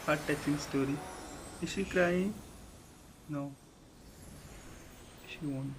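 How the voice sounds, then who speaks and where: Slow, low-voiced woman game streamer. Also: background noise. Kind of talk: casual live stream reactions. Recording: game music behind the voice.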